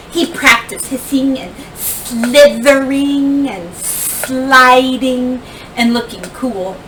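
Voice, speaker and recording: A woman teacher reading a children's picture book aloud expressively, with deliberate phrasing, dramatic pauses and emphasis. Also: fairly high pitch, a bit bright. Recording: distant mic, faint background noise.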